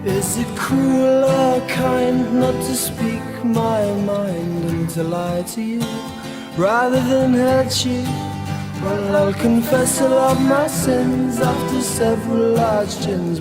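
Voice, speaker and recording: A woman's voice: a little high, slow pace. A woman delivering confessional song lyrics, half spoken and half sung. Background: loud guitar music.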